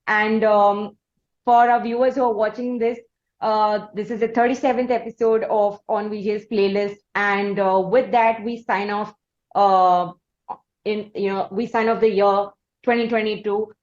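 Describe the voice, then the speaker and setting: A woman's voice: medium pitch, steady tone, a little slow, a little mellow. A woman with an Indian accent hosting a conversational interview show, heard on a dull, roomy recording. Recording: dull, echoey room sound.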